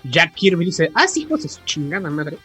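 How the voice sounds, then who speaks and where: A man's voice: fairly high pitch, sing-song, a little fast, bright, forceful tone, breathy edge. A Mexican man hosting a podcast, chatting casually with slangy, profane, joking banter. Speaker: podcast host. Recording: podcast with music playing.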